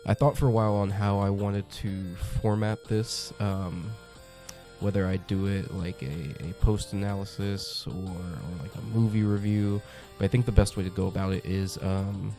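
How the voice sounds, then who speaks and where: Congested young guy, deep gravelly voice, chatty comic review host, lots of ums, soft music behind. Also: steady tone, normal speed. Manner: chatty, enthusiastic, many ums and uhs. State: congested from a cold. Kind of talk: casual review monologue.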